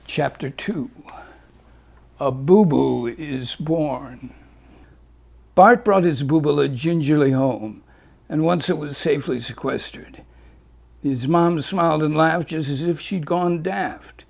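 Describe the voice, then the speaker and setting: A man's voice: on the high side, takes it slowly, vocal fry, darkish tone. A man reading a rhyming fable aloud in a lilting, rhythmic delivery. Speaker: storyteller.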